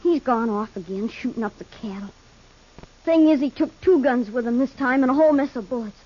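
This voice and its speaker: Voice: mid-range voice, fairly quick, mellow, natural voice. A performer playing a sullen, stubborn farm boy with a rural American Western accent in a 1950s radio Western, terse, defiant and grudging. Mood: reluctant, confiding. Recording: dull, muffled 1950s radio recording.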